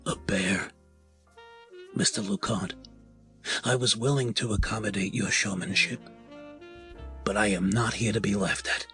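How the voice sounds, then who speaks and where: An actor in an audio drama playing a stern, formal crime family patriarch who speaks with measured authority. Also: male voice, lowish voice, fairly even intonation, a bit bright, soft background music. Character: stern, formal male mafia patriarch, commanding and controlled. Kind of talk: scripted audio drama acting.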